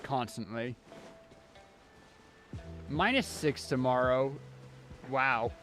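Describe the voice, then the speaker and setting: A man's voice: medium pitch, very slow, fairly bright tone. A man livestreaming a video game with casual, wry, grumbling commentary. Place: inside a cold house. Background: game music.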